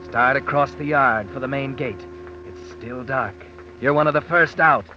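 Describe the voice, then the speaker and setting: A man's voice: medium pitch, normal speed, close to an everyday voice. An actor playing a taunting male narrator of a 1940s radio thriller, clipped and goading. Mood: goading, urgent. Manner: clipped, goading, addresses the character directly. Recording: dull old radio recording, loud music.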